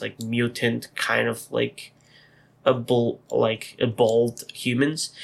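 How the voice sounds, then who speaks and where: A man's voice: mid-range voice, gravelly, bright, forceful tone. A man co-hosting a podcast in a casual chat, rambling with lots of fillers and teasing his co-host.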